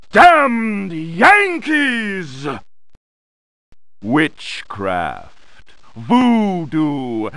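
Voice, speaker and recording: Slow, menacing undead pirate sorcerer. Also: male voice, medium pitch, fairly bright tone, smooth, dry recording, full character voice. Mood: boastful, menacing. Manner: slow, theatrical, gloating. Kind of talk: dramatic narrated villain monologue in a video game.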